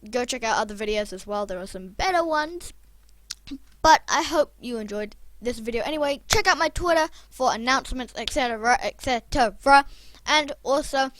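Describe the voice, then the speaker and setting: A young YouTuber with a croaky voice, rambling casually and chatting through a building video. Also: medium pitch, fairly bright tone. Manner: rambling, chatty, self-deprecating. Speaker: Minecraft YouTuber. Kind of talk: casual gameplay commentary.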